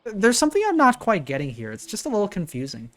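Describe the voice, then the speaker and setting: A woman's voice: medium pitch, wildly swooping pitch, fast. A woman reacting to a game in a casual, slangy, exclamatory way. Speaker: reaction video host.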